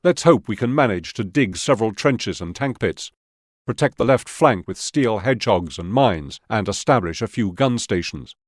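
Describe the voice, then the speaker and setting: An actor playing a stern Soviet army officer giving scripted mission briefings in a war game. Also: male voice, on the low side, animated, moderate pace, no echo, studio quality. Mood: grave, commanding.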